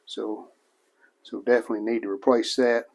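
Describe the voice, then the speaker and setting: A man giving casual hands-on narration of a repair as a hobbyist restorer, plain-spoken and unhurried, thinking aloud as he works. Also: fairly low pitch, little pitch movement, creaky.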